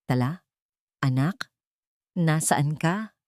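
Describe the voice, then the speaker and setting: Woman doing a scared pleading mom, determined, lowish voice, audio drama, dry sound. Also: extremely slow, darkish tone, no room sound. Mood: fearful. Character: frightened, pleading, determined young mother. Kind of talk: dramatic Tagalog audio story.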